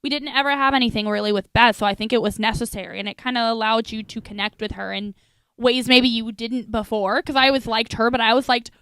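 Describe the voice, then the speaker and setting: A woman's voice: a little high, steady tone, fast, a bit bright, clear, resonant tone, dry recording. A young adult woman co-hosting a casual podcast, chatty and playfully teasing. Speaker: podcast co-host.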